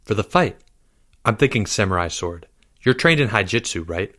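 A man voicing a calm, courteous schoolboy schemer in a storybook, talking in a low, confiding way. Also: normal speed. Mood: conspiratorial.

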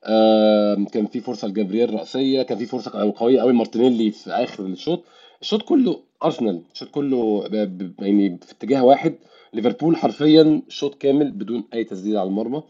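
A hoarse Egyptian man with a worn-out voice, hosting a casual football discussion in Egyptian Arabic. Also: on the low side, expressive, rapid-fire, warm tone. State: hoarse, voice worn out after the match. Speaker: football podcast host. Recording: dull, slightly muffled microphone.

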